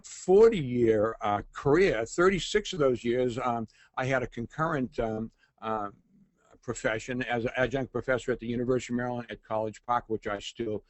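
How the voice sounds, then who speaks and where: Older guy w boston accent hosting a webinar, lots of hesitating, medium pitch, dry sound. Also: no echo. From Boston, United States. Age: 60s. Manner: halting, with frequent hesitations and repeats. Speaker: webinar host, retired agent and forensic lecturer. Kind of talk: informal webinar presentation.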